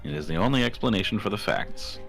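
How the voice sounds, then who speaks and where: A man's voice: lowish voice, lively intonation, fast, gravelly, a bit bright, soft background music. A man voicing a pompous, flamboyant British detective with grand, declamatory delivery in a video game fan dub. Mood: self-assured. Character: pompous, theatrical British great detective, self-assured and flamboyant.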